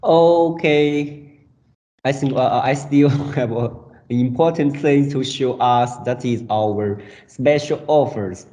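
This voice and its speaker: A man's voice: mid-range voice, slow pace, mellow. A man co-presenting products conversationally in hesitant non-native English, restarting his phrases. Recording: roomy echo, dull sound.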